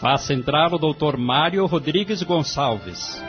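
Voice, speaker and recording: Man acting grandiloquent judge, very animated pitch. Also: unhurried. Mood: solemn, benevolent. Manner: formal, ceremonious, grandiloquent. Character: solemn, benevolent male judge, ceremonious and devout. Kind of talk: audio drama acting. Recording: dull lo-fi audio, music underneath.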